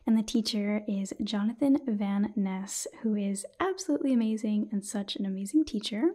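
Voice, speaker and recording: A woman in her twenties presenting softly in an ASMR style, with a breathy, often whispered voice. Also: medium pitch, moderate pace. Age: 20s. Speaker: ASMR video creator reading a sponsor message. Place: small indoor room.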